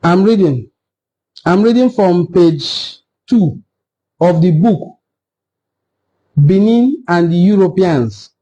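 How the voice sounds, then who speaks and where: Assertive Nigerian man with a high-pitched voice. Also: expressive, takes it slowly, pauses often, dark tone, dull audio. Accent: Nigerian Igbo-language. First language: Igbo. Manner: assertive, argumentative, emphatic repetition. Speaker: video host on history. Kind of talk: impassioned informal history talk to camera.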